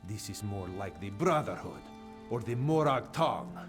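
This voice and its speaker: A man voicing a sly male cat-folk spy in a game, who talks about himself in the third person in a formal, measured way. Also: lowish voice, expressive, soft background music. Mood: disapproving.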